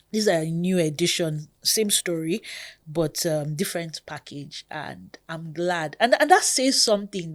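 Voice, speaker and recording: A woman in her thirties from Nigeria with a Nigerian accent, hosting a podcast in a chatty, expressive way. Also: lowish voice. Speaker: podcast host. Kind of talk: casual podcast monologue.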